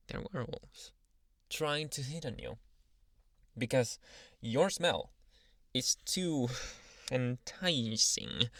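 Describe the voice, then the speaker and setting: A man voicing a dominant but awkwardly polite alpha male werewolf in an intimate, halting ASMR roleplay, with sighs and murmured asides. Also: medium pitch, a bit bright, no room sound, studio quality. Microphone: close, dry microphone.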